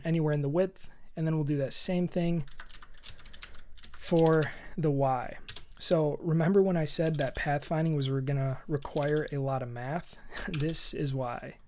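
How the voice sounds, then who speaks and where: A hoarse man with a scratchy edge narrates a coding lesson. He talks in a chatty, upbeat way while he types, thinking aloud as he goes. Keys clatter behind him. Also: on the high side, darkish tone. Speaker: programming tutorial host. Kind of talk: screen-recorded coding walkthrough. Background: keyboard typing.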